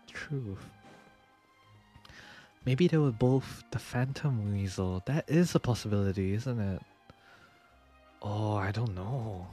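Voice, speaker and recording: A man's voice: fairly low pitch, darkish tone. A man doing casual livestream game commentary, reacting and thinking aloud. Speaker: livestreamer, VTuber. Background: game music.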